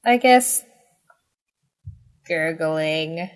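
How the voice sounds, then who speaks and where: A woman's voice: low voice, lively intonation, very slow, clear, resonant tone. A woman co-hosting a podcast in casual chat, flippant and jokey as she plays along. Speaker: podcast co-host playing along with the story.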